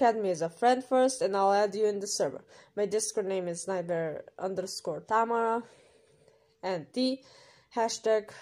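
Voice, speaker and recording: A woman's voice: medium pitch, darkish tone. A woman reading an informal explainer voice-over in an emphatic, instructional way, as a creator running an audition. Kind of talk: informal scripted explainer voice-over with numbered rules.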